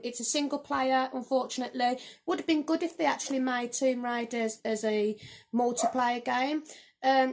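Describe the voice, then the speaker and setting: Woman gaming vlogger, slightly croaky, higher-pitched, chatty and rambling. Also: a little hiss or hum. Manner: chatty, rambling, with frequent "um" and "so, yeah". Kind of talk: casual game collection review. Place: home room.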